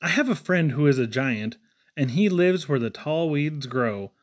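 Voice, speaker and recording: Higher-voiced man reading children's poems theatrically. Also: expressive, unhurried. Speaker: poetry reader. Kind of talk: expressive read-aloud of children's poems.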